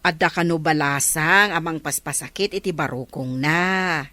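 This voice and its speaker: Aktres a kas mausisa a kagawad a babai, natadem ti timekna. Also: female voice, deep voice, normal speed, sharp tone, dry recording. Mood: gossiping. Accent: Ilocano. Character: inquisitive village councilwoman, playful busybody. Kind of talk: Ilocano comedy drama acting.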